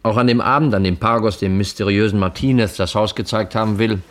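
Male actor as detective sidekick, 1950s radio drama: low register, plain-spoken, doubting, blunt, loyal. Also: steady tone, a little fast, close to an everyday voice. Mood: matter-of-fact. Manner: blunt, colloquial, questioning. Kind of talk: 1950s German radio crime drama acting.

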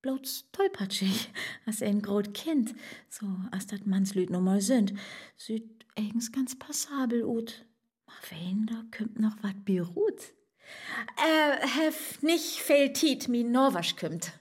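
Coy, high-voiced Low German young woman. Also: lively intonation, slow, husky, heavily acted. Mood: amused, coy. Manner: musing, coy, murmuring asides. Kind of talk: rhymed radio play dialogue.

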